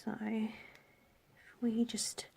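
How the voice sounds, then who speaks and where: A woman with a cold and a raspy, blocked-up voice, demonstrating a card-making project softly, sometimes near a whisper. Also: on the high side, monotone, drawn-out words. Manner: soft, partly whispered while working. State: congested from a cold. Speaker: stamping craft demonstrator. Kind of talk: craft tutorial.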